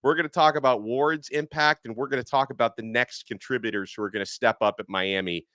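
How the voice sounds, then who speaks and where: A man hosting a sports podcast, talking fast and excitably with plenty of ums and uhs. His voice is a little hoarse and sits at a middle pitch. The sound is dry and close, with no room around it. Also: no echo. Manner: animated, excitable, with frequent fillers. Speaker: podcast host. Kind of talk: casual sports podcast talk.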